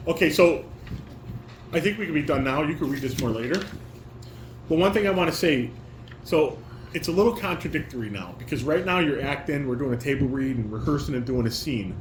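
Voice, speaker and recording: A man directing a family table read, reading stage directions and giving informal, corrective instructions. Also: mid-range voice. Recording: echoey room with clear background noise.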